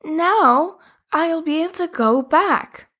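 A woman's voice: extremely high pitch, expressive, slow pace, fairly bright tone, voice pushed up, exaggerated voice. A woman voicing a kind, helpful young girl in a storybook, speaking cheerfully and eagerly.

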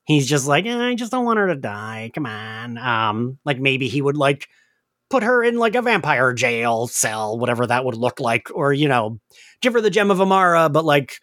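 A man's voice: medium pitch, moderate pace, no echo, clean studio sound. A man hosting a podcast, chatting casually through a recap with lots of "like" as filler. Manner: animated, chatty, filler-heavy with frequent "like". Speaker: podcast host.

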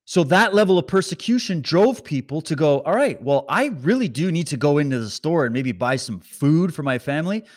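A man hosting a political commentary stream, talking straight to his viewers with animated sarcasm. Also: a little high. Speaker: commentary host.

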